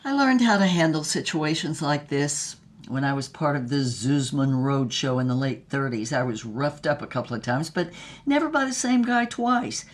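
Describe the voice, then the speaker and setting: A woman's voice: deep voice, expressive, moderate pace. Woman acting wry, worldly, tough femme fatale. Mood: amused, rueful. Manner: wry, sardonic, unflappable. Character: worldly, wry, tough glamorous woman recalling her love affairs. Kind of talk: dramatic first-person storytelling in audio fiction.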